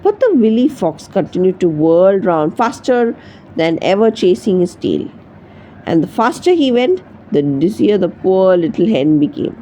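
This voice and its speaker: A woman narrates a children's storybook with very lively, swooping intonation. Her tone is soft and mellow at a medium pitch, with faint noise in the background. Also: measured pace, low-quality recording. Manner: expressive read-aloud storytelling. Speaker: storybook reader.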